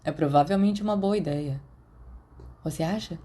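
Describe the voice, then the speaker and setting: A woman's voice: sits low, moderate pace, warm tone. Woman voicing a sullen, subdued Brazilian teenage boy. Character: sullen, guarded teenage schoolboy. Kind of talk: audiobook character dialogue.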